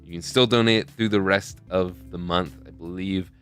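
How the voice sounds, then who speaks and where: A man's voice: deep voice, fairly even intonation, normal speed, fairly bright tone, faint music behind. A young man hosting a charity live stream in a casual, rambling way, often losing his train of thought. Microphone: desk mic close to a face camera.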